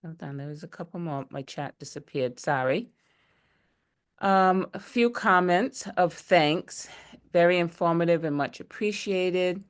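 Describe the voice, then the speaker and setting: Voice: medium pitch, measured pace, clean tone. A moderator reading out audience questions from a chat over a video call, with brief asides. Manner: reads chat comments aloud, brief asides.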